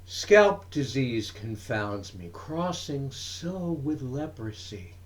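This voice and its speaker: A man giving a dramatic reading of verse, in measured, deliberate phrases broken by pauses. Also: medium pitch, big pitch swings. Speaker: reader of poetry.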